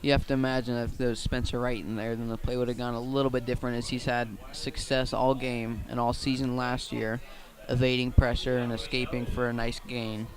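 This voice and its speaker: Even-toned man calling football play-by-play. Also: mid-range voice, brisk, hardly any pauses. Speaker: play-by-play commentator. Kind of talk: live radio football commentary.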